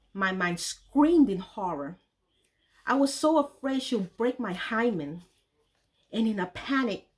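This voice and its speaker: Woman earnestly reading her memoir. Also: medium pitch. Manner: earnest, grave storytelling. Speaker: memoir author and storyteller.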